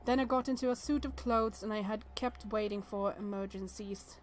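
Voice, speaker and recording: A woman's voice: medium pitch, fairly even intonation, moderate pace, slight background noise. A woman reading visual novel text aloud with casual commentary, reacting aloud and stumbling now and then over words.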